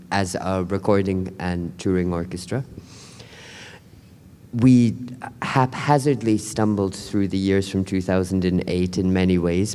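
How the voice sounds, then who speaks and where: A man speaking on a panel about his music program, relaxed and chatty with frequent ums. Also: low voice. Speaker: panelist, artistic director. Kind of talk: panel talk. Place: conference hall. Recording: hall reverb.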